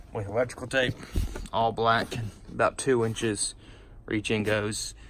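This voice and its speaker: A man's voice: lowish voice, a bit bright. A man giving an unscripted, hands-on installation walkthrough, explaining and pointing things out step by step. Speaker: DIY how-to presenter. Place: inside a pickup truck cab. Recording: noisy handheld recording inside a vehicle.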